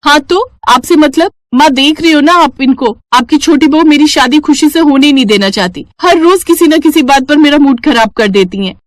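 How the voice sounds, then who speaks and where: Woman as stubborn cartoon bride-to-be, young and combative, pitched very high, whiny and indignant. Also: animated, normal speed, hardly any pauses, dry recording. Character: hot-tempered, stubborn, heavyset young bride-to-be.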